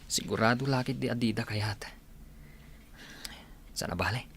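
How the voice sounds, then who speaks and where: A man's voice: medium pitch, a little slow, fairly bright tone. A man acting a young suitor in a radio drama, with an Ilocano Filipino accent. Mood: worried. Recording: faint background noise.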